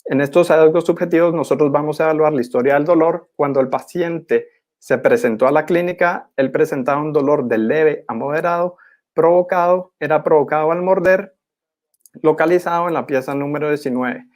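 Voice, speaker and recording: A young man gives a formal academic presentation in a measured, didactic manner as a postgraduate student. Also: on the high side. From Guatemala. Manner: measured, didactic, clearly enumerating.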